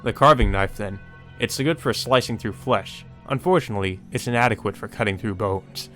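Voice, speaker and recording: A man's voice: on the low side, faint music behind, close to an everyday voice. Man reading as a cold, methodical killer. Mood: cold, deliberate. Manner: calm, deliberate. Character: cold methodical male killer musing over his ritual.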